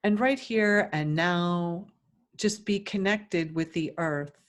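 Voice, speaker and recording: Slow, low-pitched woman guiding meditation. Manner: gentle, soothing, instructive, with long pauses between phrases. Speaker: guided meditation teacher. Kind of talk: guided meditation.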